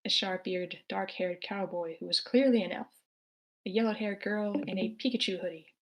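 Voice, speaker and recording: A woman narrates a screenplay in a live online table read. Her voice sits at a medium pitch as she reads out scripted action. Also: normal speed, slight background noise, low-quality recording. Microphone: remote online meeting.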